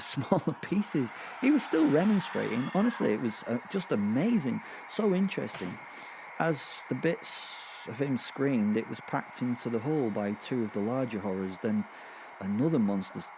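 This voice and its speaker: Man playing a gleeful sadistic werewolf, noisy backdrop. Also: fairly low pitch. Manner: conversational, rambling, hesitant, darkly amused understatement. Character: gleeful, sadistic male werewolf killer marvelling at Hell. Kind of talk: first-person horror audio drama narration.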